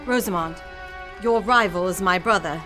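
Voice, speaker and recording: A woman's voice: fairly low pitch, normal speed, over music, close to an everyday voice. A woman playing a polite, curious young lady in a radio play, earnest and a little tentative.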